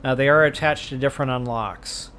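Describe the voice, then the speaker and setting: A man's voice: mid-range voice, fairly even intonation, brisk, fairly bright tone, slight background noise. A man giving casual, rambling video game walkthrough commentary as a host. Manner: chatty, informal, rambling.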